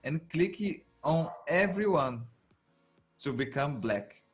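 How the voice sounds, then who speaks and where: Male co-host, casual, quips in with short lines, very lively pitch. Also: slow pace, faint music behind. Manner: chimes in briefly, joking. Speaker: live stream co-host. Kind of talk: casual game tutorial chat.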